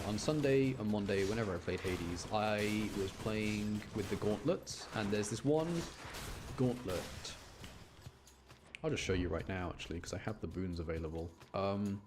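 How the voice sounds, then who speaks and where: A man's voice: on the low side, noisy background. A man giving casual live commentary as he streams a video game, rambling and often repeating himself.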